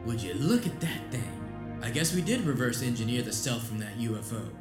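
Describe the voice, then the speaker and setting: An actor playing a brusque, skeptical senator in an audio drama, blunt and questioning, with a sardonic edge. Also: male voice, medium pitch, animated, normal speed, loud music behind the voice, close to an everyday voice. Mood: curious, puzzled. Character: skeptical, brusque male American senator on inspection.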